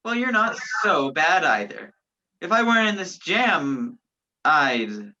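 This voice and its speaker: A woman's voice: low voice, very animated, slow pace, bright, forceful tone, exaggerated voice. A woman playing a determined, commanding man on the run in a radio play. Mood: urgent. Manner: urgent, commanding. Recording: dull lo-fi virtual recording.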